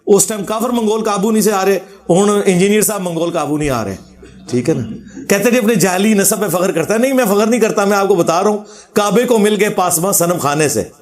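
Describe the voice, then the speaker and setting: High-pitched man, sardonic Pakistani Punjabi lecturer. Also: animated, fairly quick. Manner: argumentative, sardonic, quoting scripture. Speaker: religious lecturer. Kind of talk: informal religious rebuttal lecture.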